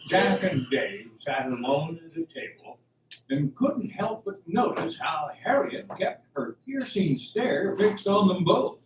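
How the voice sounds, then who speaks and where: Male radio play narrator, animated delivery, recorded off-mic in an echoey room. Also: high voice, slow pace. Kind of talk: scripted radio drama narration. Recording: echoey room, distant mic, faint hiss.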